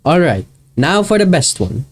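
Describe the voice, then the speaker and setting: A man's voice: a little high, big pitch swings, normal speed. A man narrating a video essay in a casual, enthusiastic and informal way. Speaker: video creator. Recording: music behind the voice. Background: music with guitar.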